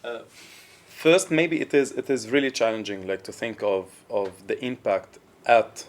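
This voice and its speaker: A man speaking at a panel discussion, halting and hesitant with many restarts. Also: medium pitch. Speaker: panel audience member.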